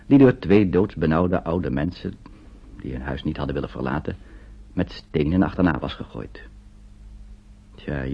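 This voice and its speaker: An actor narrating a radio play as a grave storyteller, in a measured, suspenseful, scripted style. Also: male voice, deep voice, husky, heavily acted. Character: grave storyteller recounting a wartime flight. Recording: dull old radio recording.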